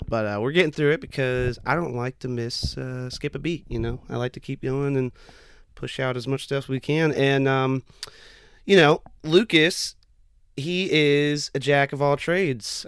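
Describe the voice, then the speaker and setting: A man hosting a casual podcast interview, chatty and friendly with a slightly raspy, tired voice. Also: medium pitch, no echo. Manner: friendly, chatty, laughs often. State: slightly raspy, losing his voice. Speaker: podcast host.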